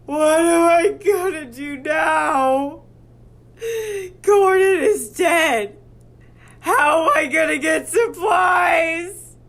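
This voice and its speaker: A woman's voice: very high-pitched, very slow, a bit bright, exaggerated voice. A woman acting as a frantic female survivor in a horror audio drama, melodramatic and panicked. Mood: despairing.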